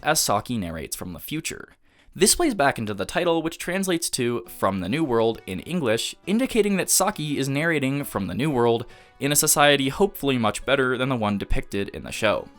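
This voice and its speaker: A man's voice: medium pitch, a bit bright. A man delivering scripted video essay narration as the host of an anime review.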